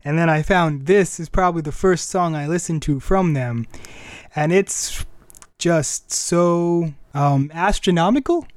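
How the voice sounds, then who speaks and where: Man, fairly high voice, dry close sound, podcast host, casual chat, rambling and enthusiastic. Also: measured pace, dry recording. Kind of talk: casual music and anime chat.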